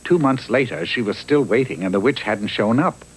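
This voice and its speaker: A man's voice: mid-range voice, lively intonation, moderate pace, husky, rough recording. A man narrating a fairy tale cartoon in a theatrical, mock-earnest storybook style. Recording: old TV soundtrack with faint noise.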